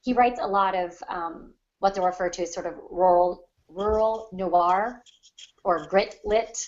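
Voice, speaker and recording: An American woman from the Ozarks in a casual book discussion as a co-host, often pausing and rephrasing mid-thought. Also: medium pitch, darkish tone, lo-fi sound. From the Ozarks, United States. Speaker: podcast co-host.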